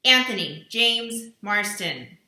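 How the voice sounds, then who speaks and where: A woman's voice: medium pitch, lively intonation, pushed and bright, reverberant, heavily acted. A formal, accusing voice, read out by a woman. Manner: slow, formal, declaiming.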